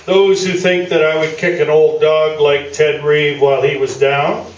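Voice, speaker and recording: An actor playing a smug, self-promoting veteran sports columnist, pompous and falsely modest, in a staged radio play. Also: male voice, a little high, flat delivery, measured pace, lightly acted. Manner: pompous, mock-sincere. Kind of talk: staged radio play interview before an audience. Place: small theatre, live staged performance. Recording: roomy distant stage recording with noise.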